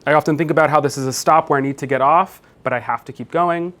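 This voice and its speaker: A man's voice: fairly high pitch, fast talker, a bit bright. A man in his thirties from New Jersey giving a conference keynote, talking conversationally with many fillers and restarts. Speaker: keynote speaker, professor. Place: conference room.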